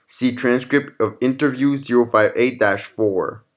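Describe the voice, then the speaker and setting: A man's voice: mid-range voice, unhurried. A man hosting and narrating scripted horror fiction in the form of documents and logs. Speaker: narrator and channel host.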